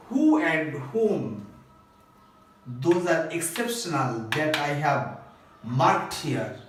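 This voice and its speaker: A man with a Bangladeshi accent teaching English grammar step by step, in a repetitive, phrase-by-phrase instructional way. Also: high voice. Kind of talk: recorded grammar lesson, step-by-step explanation. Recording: echoey room, distant microphone.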